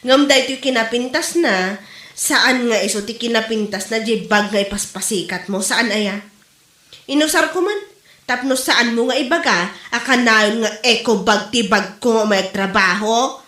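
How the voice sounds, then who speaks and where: A woman's voice: a little high, normal speed, sharp tone. A woman with an Ilocano accent playing a proud, indignant wife in a comedy drama, boasting and scolding. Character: proud, indignant wife boasting of her genuine luxury bag. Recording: roomy, echoing sound.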